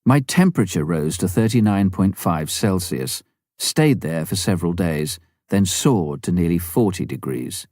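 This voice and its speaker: A man with a very low voice reads a memoir as an audiobook narrator. His pitch moves in lively sweeps through the measured, deliberate phrases. The tone is mellow and warm, with the expressive shaping of a storyteller. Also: no echo, studio quality. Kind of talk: scripted narration of a missionary memoir.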